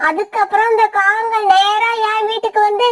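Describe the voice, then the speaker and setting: A woman's voice: extremely high pitch, level tone, normal speed, a bit bright. A woman voicing a timid young female forest bird in a cartoon moral story, cautious, resigned and fearful. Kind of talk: animated moral story dialogue.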